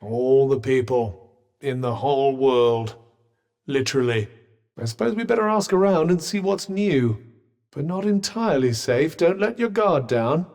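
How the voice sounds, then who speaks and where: A man's voice: medium pitch, animated, unhurried, a little mellow, long reverb tail. An actor voicing a sardonic male magic bracelet in a video game, delivering dry, teasing, understated quips. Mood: wry.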